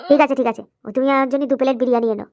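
A woman's voice: high voice, steady tone, rapid-fire, darkish tone. A woman voicing a sulky, greedy mistress in an amateur comedy cartoon, nagging and making demands. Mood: demanding.

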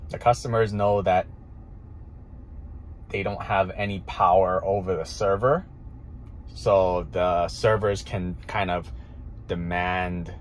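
Slow, deep-voiced man musing in a car. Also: slight background noise, low-quality recording. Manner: slow, thinking aloud with many fillers. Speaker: restaurant owner and chef. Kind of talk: casual monologue. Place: parked car at night. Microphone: phone camera held close.

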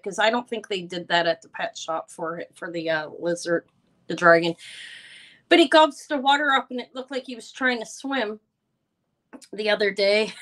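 Loud, bright-voiced woman hosting a live sale. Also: mid-range voice. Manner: chatty, rambling, loud voice. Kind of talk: casual live-stream chat greeting viewers.

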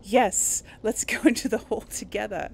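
A woman's voice: mid-range voice, normal speed, vocal fry, slight background noise. A woman hosting a gaming video, giving casual commentary and role-playing along. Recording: game music under the voice. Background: game music.

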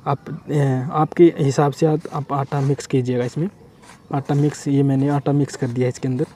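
A man's voice: mid-range voice, mellow, slight background noise. A man hosting a fishing channel, chatting his way through a bait-making demonstration outdoors by a river, and he keeps addressing his viewers as friends. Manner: chatty and repetitive, often says "friends". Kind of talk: casual how-to demonstration.

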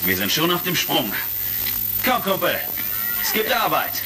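An actor playing a confident, brisk space commander in a German sci-fi audio drama. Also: male voice, fairly high pitch, wildly swooping pitch. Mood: eager, commanding. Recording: retro crackly audio drama recording with music.

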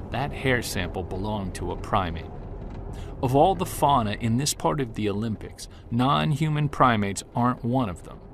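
An actor playing an earnest male Bigfoot investigator who narrates in the first person, measured and defiant toward skeptics. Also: medium pitch, a bit bright, slight background noise, natural voice. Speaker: narrator and lead character. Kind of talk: audio drama narration.

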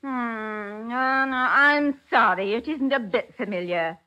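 A woman's voice: on the high side, slow pace, sharp tone. An actress playing a scatterbrained, sentimental society charity lady, fluttery and rambling, in a 1940s radio drama. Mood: breezy, distracted. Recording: muffled 1940s radio recording.

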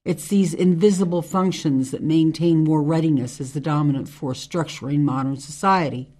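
Radio drama: tough female ex-military ranger, played by a woman, measured, earnest monologues, low-voiced for a woman. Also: darkish tone. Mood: solemn, earnest. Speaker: actor in an amateur radio drama.

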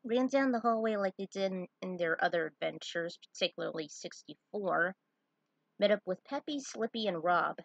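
A woman's voice: mid-range voice, animated, normal speed, creaky, no echo, dull audio. A woman reading a story aloud in an informal amateur way, stumbling now and then over words. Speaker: fan fiction reader. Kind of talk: amateur story narration read aloud, with asides.

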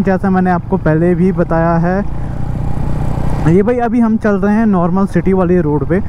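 A man's voice: high-pitched, muffled recording. A young man talking casually to viewers while riding a motorcycle through city traffic, chatting and calling them brother. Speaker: motovlogger. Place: on a motorcycle on a busy city road. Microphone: helmet mic on a moving motorbike.

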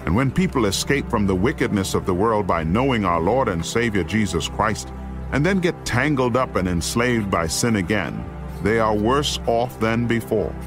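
Male actor as grave apostle warning his flock, lowish voice, oratorical, deliberate, dramatized scripture, faint music underneath. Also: animated, close to an everyday voice. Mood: stern, denouncing.

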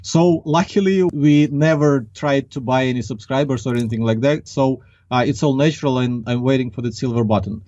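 A man's voice: medium pitch, brisk, hardly any pauses, dark tone, no room sound. Ukrainian-accented man, slightly dull dry recording. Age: 30s. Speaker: interview guest, marketing executive. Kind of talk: conversational interview. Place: small acoustically padded room. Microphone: large desk microphone, close. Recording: slightly dull, band-limited online call.

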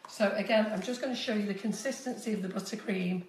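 Woman, 50s, cake decorating tutor, chatty and direct, slight cold squeak; roomy kitchen, distant phone mic. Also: fast talker, darkish tone, slight background noise. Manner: chatty, instructive, addresses viewers directly. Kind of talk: live-streamed baking demonstration. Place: home kitchen, indoors. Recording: echoey kitchen, voice often off-mic.

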